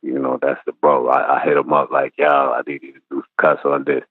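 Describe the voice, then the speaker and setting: A man's voice: rumbling low, flat delivery, a little fast, husky, no room sound. A man from Brooklyn, talking casually as a rapper guest in an interview. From Brooklyn, New York. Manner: laid-back slangy storytelling. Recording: tinny phone-like line.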